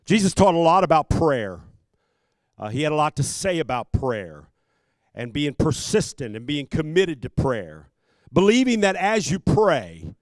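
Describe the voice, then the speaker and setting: A man in his fifties preaching a sermon as a pastor, speaking emphatically into a handheld microphone with deliberate repetition. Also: a little high, no room sound. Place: church sanctuary stage at a pulpit. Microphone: handheld microphone held close.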